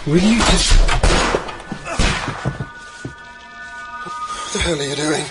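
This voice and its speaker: An actor playing a flustered, indignant hotel guest in a radio drama, confused and defensive, protesting with stammers. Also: male voice, fairly high pitch, quick pace, fairly bright tone, faint music behind, close to an everyday voice. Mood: alarmed indignation.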